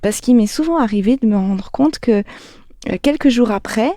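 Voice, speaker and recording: A woman's voice: fairly high pitch, expressive, moderate pace, mellow, no room sound. A woman columnist in a radio studio sharing a personal reflection conversationally, candid and a little self-mocking. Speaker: show columnist.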